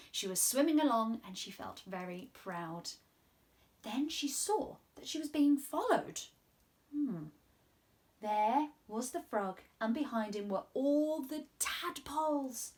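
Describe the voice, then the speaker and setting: Young woman, children's storyteller, fairly high voice, very lively intonation, encouraging. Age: 20s. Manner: expressive, encouraging. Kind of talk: animated children's story reading. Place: small room at home, indoors.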